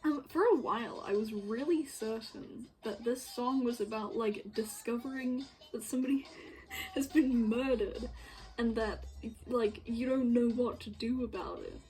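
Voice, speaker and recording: A woman's voice: a little high, measured pace, darkish tone, low-quality recording. A woman chatting casually and rambling as she works, giggly and self-deprecating. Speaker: streamer making visual novel artwork. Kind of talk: casual chat while working. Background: music playing behind the voice.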